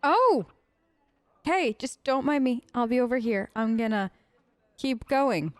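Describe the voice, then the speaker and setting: A woman's voice: a little high, animated, a little slow, no echo. A woman hosting a role-play let's play, chatting casually and a little hesitantly to game companions in character.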